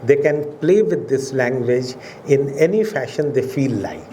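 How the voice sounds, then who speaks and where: Measured Indian man lecturing formally. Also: medium pitch, expressive, warm tone, slight background noise. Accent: Indian English. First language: Urdu. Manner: measured, oratorical, with emphatic rises on key words. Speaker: professor giving a public lecture. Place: museum auditorium.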